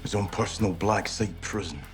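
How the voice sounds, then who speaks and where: An actor playing a cocky, loyal special forces sergeant in a military game, punchy and informal. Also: male voice, fairly low pitch, moderate pace, soft background music, lightly acted. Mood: determined. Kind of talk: cinematic military game acting.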